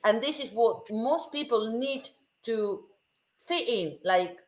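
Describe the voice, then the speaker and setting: A woman from Germany, co-hosting a casual discussion, speaking emphatically and slowing down to stress key words. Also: fairly high pitch, animated. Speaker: co-host. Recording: roomy video call audio.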